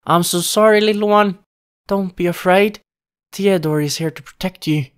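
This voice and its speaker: A man voicing a gentle animated skeleton who soothes and protects, earnest and tender, in a fantasy audio play. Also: high-pitched, normal speed, clean tone, voice pushed up, lightly acted. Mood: tender, apologetic.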